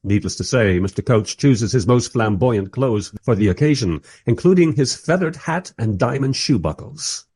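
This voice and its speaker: An actor playing a mild, polite time-travelling interviewer who narrates his story wryly in a radio play, with a husky voice. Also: medium pitch, expressive, normal speed, few pauses, a little mellow. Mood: polite, dry. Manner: wry, polite. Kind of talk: first-person radio play narration and dialogue.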